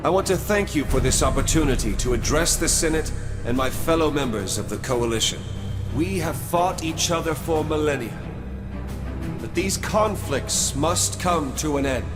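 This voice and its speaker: An actor playing a principled young military commander in a video game, speaking in an earnest, firm manner. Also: male voice, on the high side, close to an everyday voice. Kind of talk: video game cutscene acting. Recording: music behind the voice.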